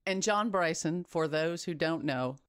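A man's voice: fairly high pitch, unhurried, a bit bright, smooth, no echo, studio quality. A man hosting an investigative interview, asking probing questions and stressing key points emphatically. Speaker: podcast interviewer.

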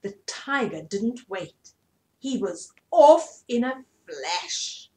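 A woman's voice: on the high side, sing-song, extremely slow, darkish tone. A middle-aged woman hosting storytime, reading and explaining a children's story expressively to small children. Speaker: storytime host. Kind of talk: read-aloud children's bedtime story. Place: small furnished room indoors.

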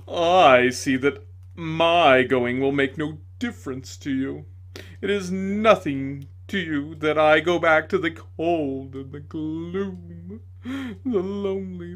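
A man reading aloud voices a bitter, theatrical male ghost who declaims slowly and loudly with self-pity. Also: fairly high pitch, pitched above the performer's own voice. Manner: loud, slow, melodramatic declaiming.

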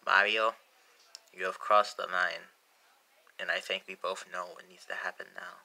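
A woman voicing a stern, wronged male video game brother who speaks gravely and accusingly. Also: deep voice, fairly even intonation, normal speed, bright, forceful tone, pitched below the performer's own voice, heavily acted, low-quality recording.

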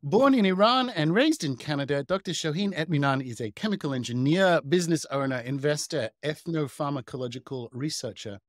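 A man's voice: a little high, lively intonation, fairly quick, few pauses, no room sound. A man in his thirties hosting a podcast interview over a video call from home, upbeat and chatty. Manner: upbeat, chatty, laughs easily. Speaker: podcast host. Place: home room.

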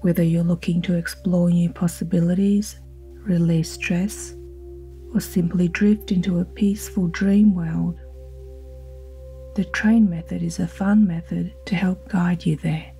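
A woman guiding a sleep hypnosis in a slow, soothing, soft-spoken manner with breathy phrase endings. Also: fairly low pitch, fairly even intonation. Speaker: hypnosis guide. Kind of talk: guided sleep hypnosis narration. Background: ambient music with singing bowl tones.

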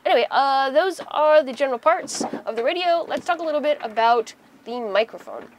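A woman's voice: sits high, animated, a little fast, background noise. A woman in her thirties giving a casual, conversational how-to explanation inside a small boat cabin, using everyday examples. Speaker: host of a sailing how-to video.

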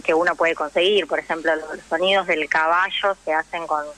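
A woman with an Argentine Rioplatense accent, explaining things in an animated, conversational way as a guest in a radio interview. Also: low voice, fairly quick, rough recording. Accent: Argentine Rioplatense Spanish. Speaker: interview guest, actress and theatre teacher.

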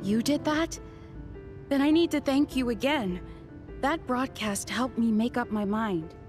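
An actress playing a guilt-ridden, guarded adult researcher in an anime game, sincere and hesitant. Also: female voice, on the high side, measured pace, music in the background, natural voice. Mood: remorseful.

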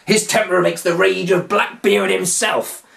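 A male narrator playing a dirty old pirate henchman who talks slow and menacing. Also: high voice, steady tone, creaky, a bit bright, voice pushed up, full character voice. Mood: sly.

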